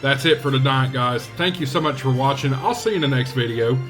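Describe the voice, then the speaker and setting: A man talking casually to viewers as a vlog host, in an unhurried, halting way with short phrases. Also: medium pitch, over music. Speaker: vlog host, ham radio hobbyist.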